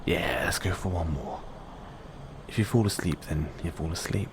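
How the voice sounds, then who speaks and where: A man reading bedtime fairy tales as an audio roleplay, in measured storybook narration. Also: deep voice, background noise.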